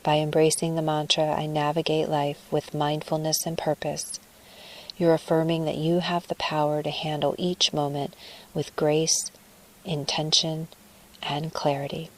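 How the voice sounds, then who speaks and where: A woman with a low voice guides a meditation. Her delivery is soft, breathy and soothing, with very little melodic movement. She places each phrase deliberately and leaves room between them. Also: little pitch movement, rough recording.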